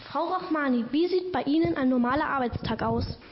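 A woman's voice: high voice, a little fast, slight background noise. A teenage girl, a youth podcast reporter, politely reading prepared interview questions. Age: teens. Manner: polite, carefully read questions. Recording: dull lo-fi sound.

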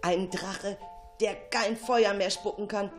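A woman narrating a children's story in an expressive, dramatic, suspenseful way. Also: medium pitch, takes it slowly, music in the background. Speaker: storyteller, radio show narrator.

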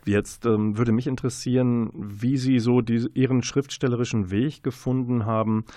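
A man's voice: mid-range voice, steady tone, moderate pace, mellow, no echo, clean studio sound. A man hosting a literary interview, asking his questions with polite, considered phrasing. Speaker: radio interviewer, host.

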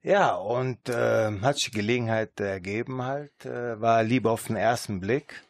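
A man from Düsseldorf, a shop owner guesting on a radio show, talking in a relaxed, friendly way. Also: mid-range voice, a little slow, gravelly, no echo, clean studio sound. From Düsseldorf, Germany. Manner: relaxed, friendly, filler "halt". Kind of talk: radio interview.

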